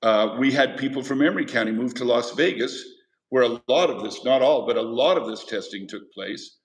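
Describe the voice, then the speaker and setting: A man teaching history to a class, talking in an easy, conversational way and stopping to quiz his students. His voice sits at a middle pitch. The classroom gives it a clear echo. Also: fairly quick. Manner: conversational, asks the class questions. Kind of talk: classroom lecture. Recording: echoey classroom.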